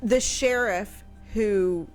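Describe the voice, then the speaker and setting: A woman's voice: medium pitch, extremely slow, soft background music. A woman explaining history in casual conversation on a radio show, piecing her sentences together hesitantly. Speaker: history show host answering questions.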